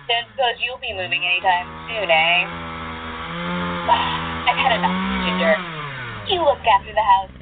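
A woman's voice: fairly low pitch, takes it slowly, vocal fry, long pauses, background noise, exaggerated voice. An actress playing a jaded, flirtatious woman thief in an audio drama, with wry, naturalistic delivery. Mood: wry, weary. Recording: muffled narrow-band sound, faint music bed.

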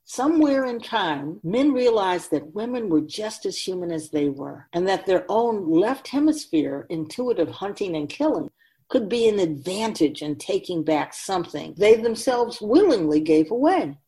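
A woman voicing a wise, ancient spirit who keeps souls, teaching patiently and deliberately in a scripted radio drama. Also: mid-range voice, big pitch swings, dark tone, close to an everyday voice. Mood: patient, explaining. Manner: measured, deliberate, didactic, solemn.

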